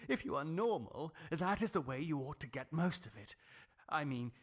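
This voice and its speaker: A man's voice: on the high side, expressive, normal speed. A man voicing a crazed, gloating, obsessed scientist with theatrical, emphatic menace in an audiobook horror story. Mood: gloating, mocking. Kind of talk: dramatic audiobook character acting.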